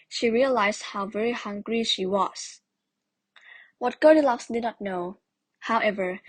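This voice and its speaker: A woman's voice: on the high side, rough recording. A woman narrating a scripted children's story for a puppet show. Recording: clear background noise.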